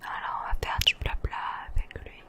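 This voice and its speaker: A woman softly whispering ASMR-style commentary over a video game, unhurried. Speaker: ASMR gaming YouTuber.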